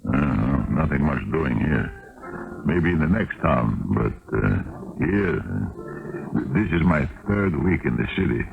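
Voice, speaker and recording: Down-and-out Russian rogue, gravelly bass, grumbling and sly, muffled radio drama recording. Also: male voice, animated, slow, background noise, exaggerated voice. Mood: grumbling, resigned. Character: gruff, sly, down-and-out Russian drifter. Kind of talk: old-time radio drama acting. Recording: dull 1970s radio recording with music.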